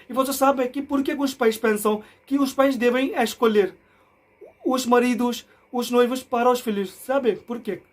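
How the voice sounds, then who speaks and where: A young man from India speaking Portuguese as a learner. He explains things casually to the camera, often restarting and correcting himself and checking in with "entende?". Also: very high-pitched. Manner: halting, frequent restarts and self-corrections. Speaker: vlogger.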